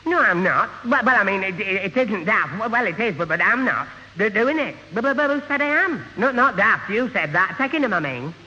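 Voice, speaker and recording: Stammering, flustered dimwit, sharp high voice. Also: male voice, big pitch swings, a little fast, few pauses, in a large hall, heavily acted. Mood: flustered, confused. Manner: flustered, contradicts himself, repeats words. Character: dim, muddled, easily flustered young motorbike rider. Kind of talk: 1970 radio sitcom acting. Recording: muffled old radio broadcast, hollow, hissy.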